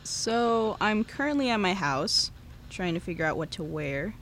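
A woman's voice: mid-range voice, lively intonation, unhurried, a bit bright. Casting: nervous, self-deprecating 17-year-old girl, rambling audio-diary delivery for a fiction podcast, faint noise behind. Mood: nervous, chatty. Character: anxious, chatty 17-year-old American high school girl.

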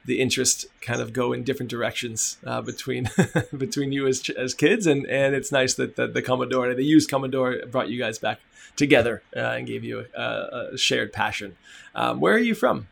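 A man with a noticeably creaky, gravelly edge conducts a casual interview. His pitch is average for a man, and he speaks conversationally as he asks his questions. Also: a little fast, a bit bright, a little hiss or hum.

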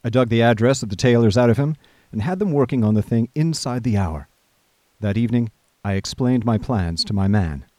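A man playing a chatty, idle young gentleman who narrates a comic radio drama in breezy, old-fashioned upper-class phrasing. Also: medium pitch, a little fast, no room sound, lightly acted.

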